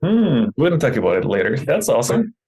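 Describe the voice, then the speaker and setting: A man's voice: mid-range voice, huge pitch swings, fast, a little mellow, husky. A man hosting an interview in a conversational style, introducing his guest and putting questions to him. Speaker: interview host.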